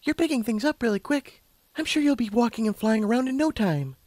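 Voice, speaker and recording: A man voicing a timid, sweet young female pegasus pony in a soft falsetto, hesitant and gently encouraging, for a story reading. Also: animated, brisk, no echo.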